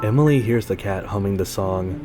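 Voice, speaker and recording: Low-voiced man recapping over music. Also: fairly quick. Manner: informal, wry asides. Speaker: podcast host and reviewer. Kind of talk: scripted story recap with casual commentary.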